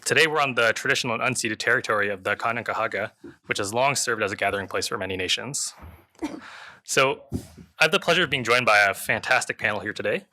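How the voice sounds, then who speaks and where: A man's voice: mid-range voice, pushed and bright. A man moderating a panel, giving a prepared introduction to an audience.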